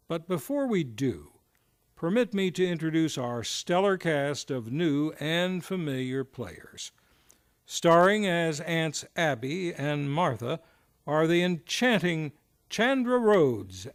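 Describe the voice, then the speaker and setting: A man hosting and narrating a radio play with theatrical, grandiose scripted delivery. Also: on the high side. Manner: grandiose, showy announcing.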